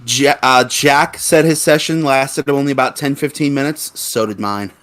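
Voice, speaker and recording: A man with a mid-range, very clear and smooth voice talks as a guest voice actor. His delivery is casual, conversational livestream chat. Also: mid-range voice, normal speed, fairly bright tone.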